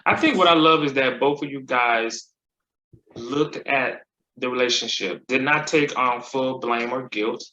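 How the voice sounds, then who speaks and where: A man's voice: mid-range voice, unhurried, roomy sound, dull audio, slight background noise. A man hosting a casual conversation, encouraging his guests and asking them questions. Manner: affirming, encouraging, asks questions warmly. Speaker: host.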